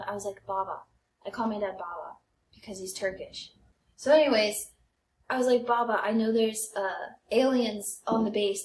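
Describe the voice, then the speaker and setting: A young American woman chatting casually and telling personal stories as a comedy podcast co-host. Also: mid-range voice. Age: young adult. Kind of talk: casual chat, personal storytelling. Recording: roomy, echoey podcast sound.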